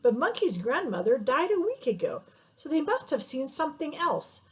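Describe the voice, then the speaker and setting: A woman's voice: high-pitched, big pitch swings, moderate pace, darkish tone, pitched above the performer's own voice. A woman voicing a suspicious, bossy male wild boar in a storybook. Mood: gossipy.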